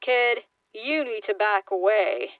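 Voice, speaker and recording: Woman voicing a brisk, exasperated pro rescuer. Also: medium pitch, dry recording, distant mic, phone-quality sound, natural voice. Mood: firm, startled. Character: brisk adult pro hero rescuer, exasperated but steadying.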